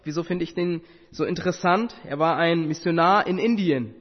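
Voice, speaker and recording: Earnest preacher in a reverberant hall. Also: male voice, on the high side, moderate pace. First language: Tamil. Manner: earnest, with rhetorical questions and hesitations. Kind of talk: sermon. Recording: muffled sound with long hall reverb.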